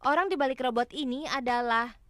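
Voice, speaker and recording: A woman's voice: high-pitched, a little fast, a bit bright, slight background noise. A woman voicing a clever, confident young female detective in a cartoon dub. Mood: confident explaining. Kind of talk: animated cartoon dub acting. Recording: music behind the voice.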